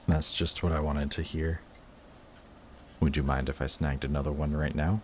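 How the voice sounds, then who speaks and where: Very deep male voice, actor as lovestruck guarded villain, halting and emotional, intimate audio roleplay, noticeable background noise. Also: level tone. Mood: soft, affectionate. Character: lovestruck male villain, guarded, flustered, confessing love to rival.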